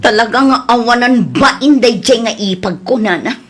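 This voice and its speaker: A woman's voice: fairly high pitch, expressive, moderate pace, loud music behind the voice, lightly acted. A woman playing an earnest, worried wife. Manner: earnest, protesting. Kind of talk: radio drama acting.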